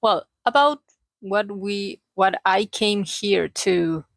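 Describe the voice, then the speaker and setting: A female vlogger from Chile talks off the cuff in Spanish-accented English with a Chilean flavor. Her medium-pitched voice has a gravelly fry to it and is captured dry, with no room sound. She feels her way through sentences, hunting for words and gently poking fun at herself. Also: slow pace, no echo. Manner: hesitant, searching for words, self-deprecating, jokey asides. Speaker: vlogger, aspiring cartoonist and illustrator. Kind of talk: casual unscripted confessional chat.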